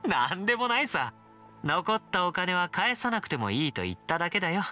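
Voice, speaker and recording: A man's voice: sits high, big pitch swings, normal speed, sharp tone, soft background music, exaggerated voice. An actor voicing a playful, slyly friendly young man in an anime game, breezy and teasing.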